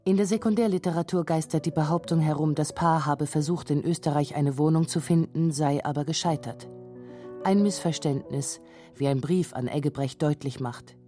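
Female narrator, very low pitch, dark soft tone, scripted documentary reading, faint music underneath. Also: normal speed. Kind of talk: scripted radio feature narration.

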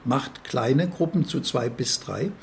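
A man's voice: medium pitch, slow pace, creaky. A man giving a first-person eyewitness account in plain, simple sentences. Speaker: reader of a prisoner's testimony. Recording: faint background noise.

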